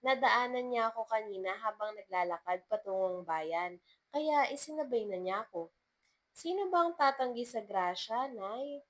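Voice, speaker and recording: A woman's voice: on the high side, expressive, moderate pace, lightly acted. Young female schoolteacher, done by a woman reader, earnest, modest, polite, bashful, love story. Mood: flustered.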